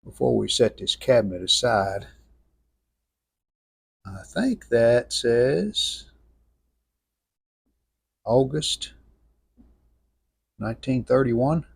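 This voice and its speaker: A man giving an unscripted hands-on restoration walkthrough in plainspoken, halting short phrases. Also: mid-range voice, vocal fry, breathy edge. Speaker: radio restorer presenting own channel.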